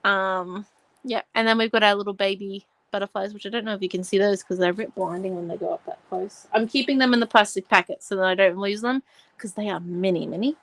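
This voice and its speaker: A young woman in her twenties leads a live craft class from home. She talks in a chatty, rambling way, full of asides and fillers. Her voice sits at an ordinary pitch and sounds close and dry, with no room echo. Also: quick pace, a bit bright. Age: 20s. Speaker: host of a live art class. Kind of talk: casual livestream craft tutorial.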